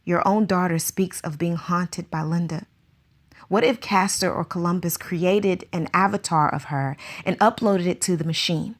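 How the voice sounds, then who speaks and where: A woman's voice: lowish voice, steady tone, moderate pace, natural voice. A woman playing an anxious, earnest circus teacher in a radio drama, asking question after question.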